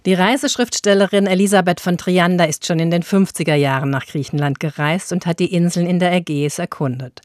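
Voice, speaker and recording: A woman's voice: lowish voice, no room sound, clean studio sound. A woman reading a scripted introduction as a radio presenter.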